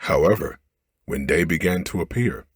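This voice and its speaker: A man's voice: deep voice, moderate pace, slightly rough, no echo. A man narrating an ASMR bedtime story, reading expressively into a close microphone.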